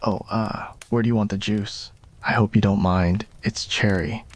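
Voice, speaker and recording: A low-voiced young vampire with a crush, acted by a man in a romantic audio roleplay. He speaks softly and hesitantly, flustered yet mischievous. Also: steady tone, moderate pace, close to an everyday voice. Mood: smirking, teasing. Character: nervous, smitten, mischievous young male vampire.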